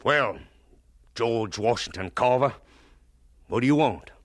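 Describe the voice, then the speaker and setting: An actor playing a bitter, sarcastic schoolmaster in a radio drama, scolding in a theatrical, sardonic manner. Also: male voice, mid-range voice, animated, slow pace, no room sound, studio quality. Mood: mocking. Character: bitter, sarcastic male schoolmaster, scornful and weary.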